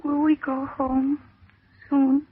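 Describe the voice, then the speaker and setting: A woman's voice: sits high, little pitch movement, slow pace. An actress playing an eerily serene, delusional office woman in an old-time radio horror drama, dreamy and detached. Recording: tinny, noisy vintage radio recording.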